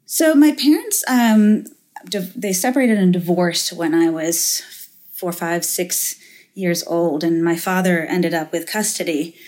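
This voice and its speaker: A middle-aged woman from Finland speaks as a guest in an interview, with a somewhat low voice. She talks in a reflective, halting way, full of restarts and fillers as she works through her answers. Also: a little mellow. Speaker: guest, venture capitalist. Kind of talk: interview conversation.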